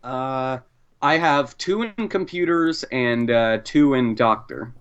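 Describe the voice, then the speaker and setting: A man's voice: medium pitch, takes it slowly, clean tone, dull audio. A man chatting casually as a player in a tabletop game.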